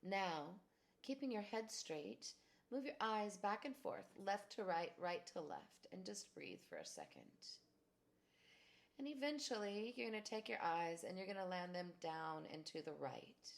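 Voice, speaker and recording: A woman guiding a tapping exercise, calmly giving steps and phrases to repeat. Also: mid-range voice, unhurried, gravelly. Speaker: tapping practitioner.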